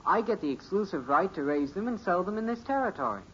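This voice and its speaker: Bright, excitable American teenage boy. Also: sits high, fast. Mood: eager, boastful. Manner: excitable, slangy, insistent. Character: eager, stubborn teenage American boy, big dreamer. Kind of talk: 1950s radio sitcom acting. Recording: muffled, noisy old radio recording.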